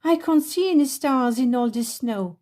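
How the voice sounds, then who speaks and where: A woman's voice: animated, moderate pace, warm tone. A woman voicing a jolly old Santa for a storybook, hearty and blustering in manner. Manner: hearty, booming.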